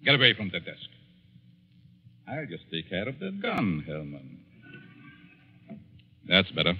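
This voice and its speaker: A man acting a sinister, insane professor villain in a 1940s radio thriller, deliberate and mockingly polite as he menaces. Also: deep voice, very animated. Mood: gloating menace. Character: sinister, insane professor, coldly scheming and gloating male villain. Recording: dull 1940s radio recording.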